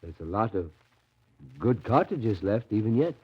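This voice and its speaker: Husky, awestruck ragged jungle wanderer. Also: male voice, lowish voice, sing-song, normal speed. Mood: confiding, admiring. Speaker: actor. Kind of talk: 1930s radio drama acting. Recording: muffled 1930s radio recording.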